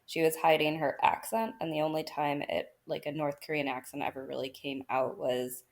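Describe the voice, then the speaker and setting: A woman's voice: low-pitched, fairly even intonation, moderate pace. A woman talking casually on a film podcast, chatty and full of fillers. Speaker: podcast guest.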